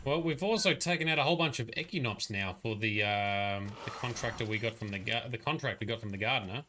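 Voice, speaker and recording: A man's voice: lowish voice, quick pace, noisy background. A man streaming a video game, chatting loosely with viewers, often correcting himself and chuckling. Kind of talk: live gameplay commentary.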